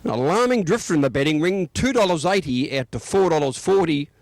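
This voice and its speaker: Higher-pitched Australian man narrating, dry sound. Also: lively intonation, brisk, no echo. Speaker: racing reporter. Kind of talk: scripted news narration.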